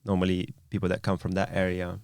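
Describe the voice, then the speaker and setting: A man's voice: low voice, completely flat delivery, quick pace, a little mellow, slight background noise. A man hosting a podcast, talking thoughtfully in a rambling, casual way with many fillers. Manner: thoughtful, rambling, lots of "you know". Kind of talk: casual chat.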